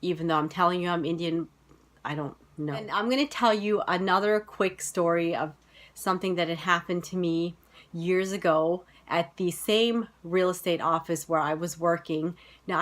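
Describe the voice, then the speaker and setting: A woman's voice: fairly low pitch, unhurried. A woman in her thirties with an American accent, telling personal stories to camera in a casual vlog, full of "you know" and "like.". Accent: General American. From United States. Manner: chatty, many fillers like "you know" and "like". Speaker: vlogger.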